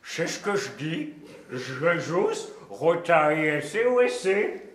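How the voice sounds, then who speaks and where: A man's voice: a little high, sing-song, slow, a bit bright, roomy sound, full character voice. An actor with a Portuguese accent playing a prisoner suspect who patiently insists on his name, in a film comedy. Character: Portuguese prisoner suspect, calm and matter-of-fact.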